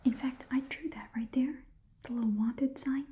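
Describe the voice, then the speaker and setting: A woman voicing a shrewd, chatty goblin shopkeeper in a breathy whisper, rambling and muttering to herself as she haggles in an ASMR roleplay. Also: high-pitched, fairly even intonation, normal speed, warm tone, lightly acted. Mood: haggling. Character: shrewd, chatty goblin pawn shop keeper.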